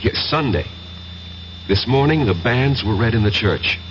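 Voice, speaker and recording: Haunted, low-voiced professor, swinging intensely. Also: male voice, lively intonation, unhurried. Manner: intense, theatrical storytelling. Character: haunted male professor confessing a past life as soldier. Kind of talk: 1970s radio drama acting. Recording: muffled, noisy old radio broadcast with hum.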